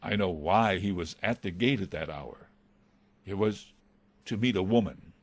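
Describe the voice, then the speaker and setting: A man's voice: low-pitched, a little slow. A man playing an anxious, loyal butler, hesitant, formal and respectful, in a dramatised reading of a classic novel. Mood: hesitant, confiding. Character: deferential, anxious male butler, pleading and loyal.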